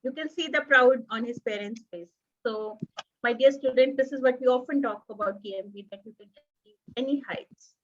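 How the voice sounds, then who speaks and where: A woman's voice: high voice, moderate pace. A woman with an Indian English accent hosting a college online event, effusive and mixing in Hindi. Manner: warm, effusive, mixes Hindi. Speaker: college host. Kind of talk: event introduction. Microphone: video call. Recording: dull lo-fi video call audio.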